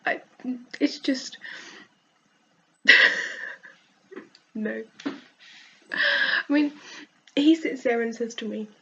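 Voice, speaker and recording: A woman with a cold and a croaky voice, frankly venting in a casual vlog and laughing often. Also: a little high, drawn-out words, long pauses, fairly bright tone, muffled recording, a little hiss or hum. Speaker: vlogger.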